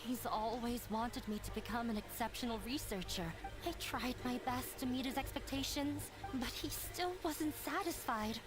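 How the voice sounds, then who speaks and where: Casting: actress as teenage-style runaway daughter for anime game, high voice, sorrowful, defeated temper. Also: female voice, moderate pace, few pauses, music in the background, close to an everyday voice. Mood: downcast, resigned. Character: young runaway daughter, guilt-ridden and resigned. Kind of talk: anime video game voice acting.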